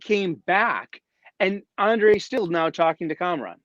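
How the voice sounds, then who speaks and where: A man with a fairly high voice, joining as a guest over a video call, feeling his way through each thought with restarts and fillers. The sound is dry and a little dull, the lo-fi feel of call audio. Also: expressive, fairly bright tone, no echo. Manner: halting, many restarts and fillers. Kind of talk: casual chat on a livestream.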